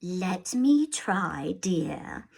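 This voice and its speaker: Woman playing a placid storybook mother, gentle, slow, high voice. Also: heavily acted. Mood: soothing. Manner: calm, gentle.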